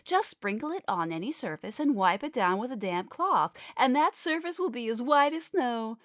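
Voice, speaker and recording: Woman doing a high, perky ad housewife. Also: expressive, normal speed, no room sound, clean studio sound, natural voice. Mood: perky, selling. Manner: bubbly, exaggerated salesmanship. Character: perky, pushy housewife selling miracle cleaning powder in parody advert. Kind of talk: old-time commercial acting.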